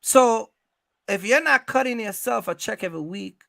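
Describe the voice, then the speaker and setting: A man hosting an animated advisory conversation about business, speaking emphatically, repeating himself and often checking in with questions. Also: high-pitched, normal speed, vocal fry, no room sound, studio quality. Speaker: host giving business advice to truck owners.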